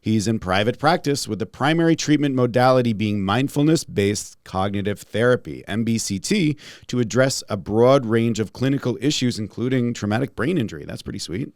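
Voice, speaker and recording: A man hosting a podcast in a casual, jokey, self-deprecating way, his voice slightly raspy and congested. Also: medium pitch, expressive, fairly quick, hardly any pauses, no echo, clean studio sound. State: congested from a respiratory illness. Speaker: podcast host, holistic pharmacist.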